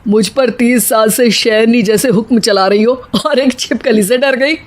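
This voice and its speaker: A woman voicing a wry older father-in-law who teases his wife sarcastically in an animated story. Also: a little high, normal speed, natural voice. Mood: wry teasing. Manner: teasing, sarcastic.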